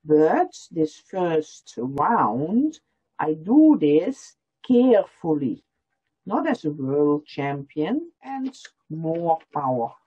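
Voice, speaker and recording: Patient woman demonstrating a craft on a video call; slow, expressive delivery, dull audio. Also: low-pitched, husky. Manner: explains step by step, repeating lines in German. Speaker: felting teacher. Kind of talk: online workshop demonstration.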